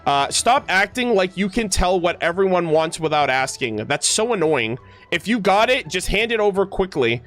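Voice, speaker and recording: A blunt, impatient young fantasy game heroine voiced by a man, in a lightly raised voice. The reading is conversational and halting in places, with game music underneath. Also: high-pitched, big pitch swings, fairly bright tone. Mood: brusque.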